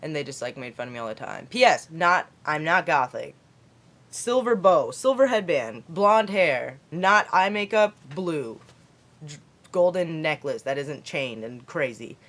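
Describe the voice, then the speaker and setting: A teenage girl from Kentucky with a deep voice for a girl, rambling chattily in a late-night vlog from her basement. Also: very animated, a little slow, fairly bright tone. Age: teens. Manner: chatty, rambling, lots of fillers and put-on voices. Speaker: vlogger.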